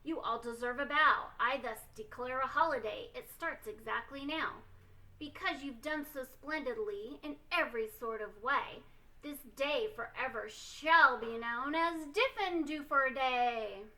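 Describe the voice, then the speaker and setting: A woman acts out a storybook school head, a man of big feelings and grand announcements. The voice is high and bright, and the delivery is slow and deliberate. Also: full character voice, poor audio. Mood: pompous, pleased. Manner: sighing, then exclaiming and declaring. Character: gloomy man, a school head, who turns jubilant.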